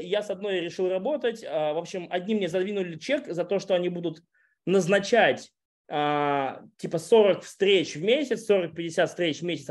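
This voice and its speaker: A man, a guest on a podcast, talking business in relaxed, rambling chat with casual swearing. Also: high voice.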